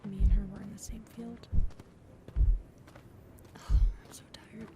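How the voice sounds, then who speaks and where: A woman voicing an affectionate, playful alien lover in an ASMR roleplay, speaking softly and intimately and often dropping into breathy whispers. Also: medium pitch, level tone, a little slow, over loud music, lightly acted. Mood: weary. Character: affectionate, playful adult female alien lover, tall otherworldly species.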